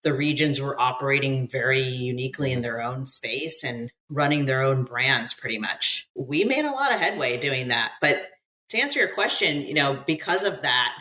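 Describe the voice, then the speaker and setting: A woman's voice: rumbling low, animated, moderate pace, pushed and bright, rough recording. An American woman talking as a podcast guest about her own experiences, talkative, often restarting mid-thought. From United States. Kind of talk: conversational interview, recounting work experiences abroad.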